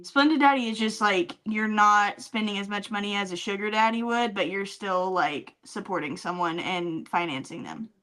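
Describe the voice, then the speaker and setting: A woman's voice: medium pitch, normal speed, few pauses, a bit bright. A woman podcast co-host chatting casually and telling comic stories with teasing, dry sarcasm. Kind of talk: casual comedic chat and storytelling.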